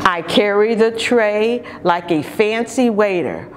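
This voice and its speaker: A middle-aged woman reads a picture book aloud to young children, expressively and deliberately, drawing out key words, recorded indoors from a camera. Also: medium pitch, slight background noise. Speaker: storytime reader for young children. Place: large carpeted indoor lobby or lounge.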